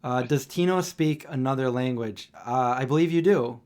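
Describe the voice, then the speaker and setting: A man's voice: mid-range voice, moderate pace, darkish tone. Male live-stream host, easygoing, reads viewer questions aloud, mid-range voice. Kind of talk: casual live-stream chat.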